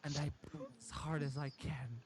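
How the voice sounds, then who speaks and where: A man reading a first-person horror story aloud in a halting, dramatic way. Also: fairly high pitch, steady tone, background noise. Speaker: amateur story reader.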